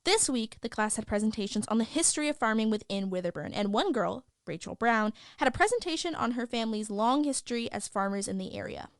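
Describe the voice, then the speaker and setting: Woman playing a gossipy school news girl, chatty n sardonic, highish voice, super dry close recording. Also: expressive, brisk, hardly any pauses, no room sound. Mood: gossipy, measured. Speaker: host of a school news show. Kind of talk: scripted news podcast narration.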